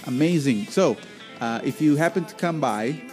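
A man's voice: fairly high pitch, expressive, moderate pace, a little mellow. Man, spanish accent, podcast host, playful banter, restaurant, faint music behind. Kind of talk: casual banter.

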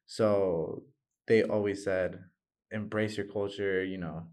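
Young man, lowish voice, chatting informally. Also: fairly even intonation, slow. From United States, of Mexican family. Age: 20s. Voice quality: loud voice. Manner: informal, talks in loose loops with frequent "like" and "you know". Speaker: interview guest, graduating student. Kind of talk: casual conversational interview answers.

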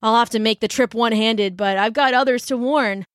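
A woman's voice: fairly high pitch, brisk, dry recording, clean studio sound, lightly acted. A woman reading a storybook aloud, voicing a sixteen-year-old boy messenger who is wounded and speaks in breathless, pleading bursts. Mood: urgent.

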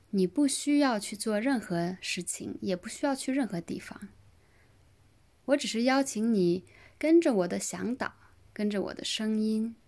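Slow, gentle woman guiding meditation. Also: mid-range voice. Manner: gentle, soothing, unhurried phrasing with long pauses. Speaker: yoga nidra meditation guide. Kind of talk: guided relaxation meditation.

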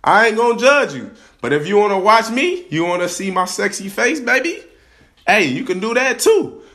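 A young man hosting his own podcast, hyped and slangy, hoarse from a cold. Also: sits high, sing-song, normal speed, pushed and bright. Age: young adult. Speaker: podcast host. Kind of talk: casual vlog-style podcast talk. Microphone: camera.

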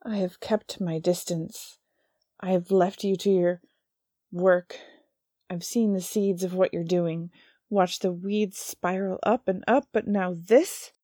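A woman's voice: lowish voice, steady tone, slow pace, mellow, no room sound, studio quality. A woman playing a furious prim male angel. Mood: indignant. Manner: clipped, indignant.

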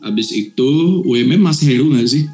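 A man's voice: medium pitch, moderate pace, a little mellow, soft background music. A young man from Sumatra with a Batak-tinged Indonesian accent, hosting a chatty, joking podcast conversation in slang. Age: young adult. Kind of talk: casual chat.

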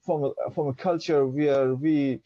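A man's voice: a little high, fairly even intonation, a little slow, no echo, muffled recording. A young man from Pakistan whose first language is Dari, telling his story as an interview guest, hesitant with many fillers. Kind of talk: reflective personal storytelling.

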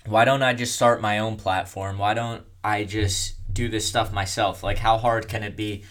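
Monotone young man, low flat voice. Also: normal speed. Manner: monotone, rambling with many fillers. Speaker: content creator. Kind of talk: casual storytelling monologue.

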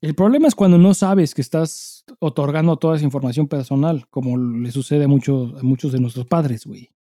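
A Mexican man talks as a podcast host in a very soft-edged, dark timbre, pitched a bit high for a man. He speaks in casual, conversational stretches full of slang and curse words. The sound is close and dry. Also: fairly quick, no room sound. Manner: informal, heavy slang and swearing.

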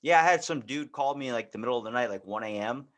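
A man's voice: medium pitch, quick pace. An American man from Maryland chatting casually, swearing freely and telling comic stories while acting out both sides of conversations. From Maryland, United States. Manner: profane, animated, acts out quoted exchanges. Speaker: podcast guest and voice actor.

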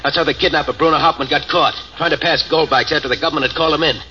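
A dying ex-convict man, cold and menacing, with a very bright, cutting voice. He taunts slowly and scornfully. The radio sound is dull, with a faint hiss. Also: a little high, fairly even intonation. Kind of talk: 1940s radio acting.

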